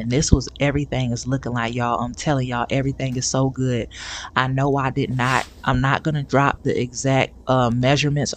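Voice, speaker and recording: A man's voice: medium pitch, a little hiss or hum. A man narrating a home cooking tutorial in a chatty, folksy way, often calling his viewers y'all. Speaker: home cook. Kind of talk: casual cooking tutorial voice-over. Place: home kitchen.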